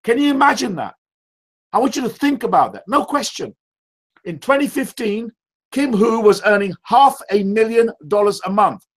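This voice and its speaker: A man with a loud, high-pitched voice leads a team call. He hammers his points with repetition and rhetorical questions. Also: lively intonation, moderate pace. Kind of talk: motivational sales call. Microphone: call audio.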